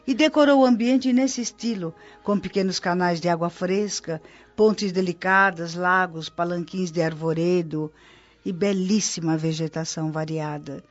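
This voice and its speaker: An actress playing a devoted woman guide from a spirit colony in a Brazilian radio drama. Her voice sits in the middle-to-low range, with reverent, articulate explanations in a Brazilian accent. The sound is slightly dull and lo-fi, with music playing behind her. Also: normal speed, close to an everyday voice. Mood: reverent explaining.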